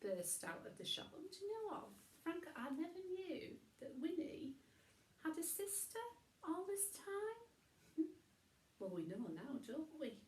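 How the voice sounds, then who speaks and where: A woman reading a children's bedtime story in an animated way, with chatty asides. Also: very high voice, a little slow, roomy sound, a little hiss or hum, rough recording.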